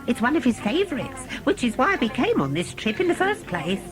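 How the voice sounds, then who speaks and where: Fussy, talkative elderly wife for audio drama, played by a woman; highish, very animated delivery. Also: brisk, over music, rough recording. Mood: fond, wistful. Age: older. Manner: fussy, chatty. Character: chatty, fussy elderly wife on a train trip.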